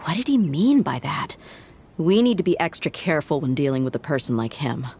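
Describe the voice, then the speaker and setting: A low-voiced, bold mercenary. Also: female voice, lively intonation, fairly quick, a little mellow, slightly rough, exaggerated voice. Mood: steady. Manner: confident, easygoing, decisive. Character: confident grown woman mercenary, bold and self-assured. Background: game music.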